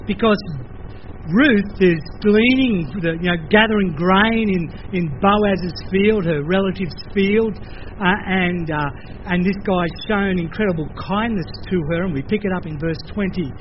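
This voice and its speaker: An older man with an Australian accent, preaching a sermon in a lively conversational way, with playful asides. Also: high-pitched, unhurried, muffled recording, a little hiss or hum. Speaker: pastor.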